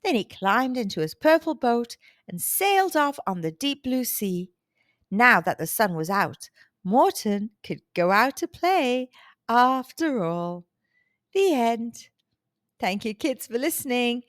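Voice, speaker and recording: A woman hosting a children's storytime, reading aloud expressively with deliberate, dramatic emphasis. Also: a little high, very animated, dry recording, clean studio sound. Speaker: children's storytime host and narrator.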